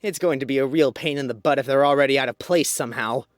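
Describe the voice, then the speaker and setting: A man's voice: mid-range voice, quick pace, no room sound, faint music behind, natural voice. Man acting a sweet, awkward young guy, dry close sound. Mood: dry, joking. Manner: hesitant, sincere, casual. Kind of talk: visual novel character acting.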